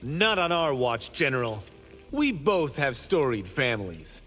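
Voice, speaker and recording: Smug young monk fighter, lively wry delivery, reverb, game dialogue. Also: male voice, mid-range voice, a little slow, soft background music. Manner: cocky, wry, joking. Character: cocky witty young male monk fighter. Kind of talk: video game character voice acting.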